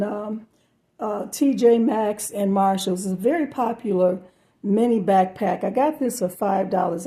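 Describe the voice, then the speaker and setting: A middle-aged woman with a hoarse voice, chatting casually through a thrift and yard sale haul with many fillers and restarts. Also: mid-range voice, mellow. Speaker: reseller showing thrift hauls.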